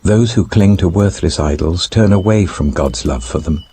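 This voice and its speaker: A man's voice: sits low, moderate pace, mellow, husky, full character voice. A British man voicing a grave, devout, repentant prophet in a solemn, declamatory scripture reading. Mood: anguished, prayerful.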